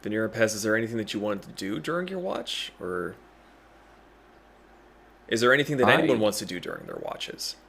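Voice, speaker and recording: A man acting as game master, improvising narration haltingly and thinking as he goes. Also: on the low side, wildly swooping pitch, gravelly, crowd noise.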